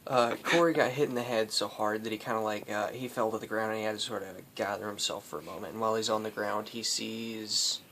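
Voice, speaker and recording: A man hosting casual improvised storytelling, rambling and halting as he makes it up. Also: on the low side, fairly even intonation, fairly bright tone, slight background noise. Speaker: storyteller, host.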